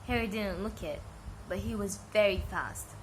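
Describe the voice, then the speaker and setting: A woman's voice: mid-range voice, normal speed. Casting: female hobby storyteller, mid-range voice, narrated bedtime reading, noisy home-taped sound. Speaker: amateur storybook reader.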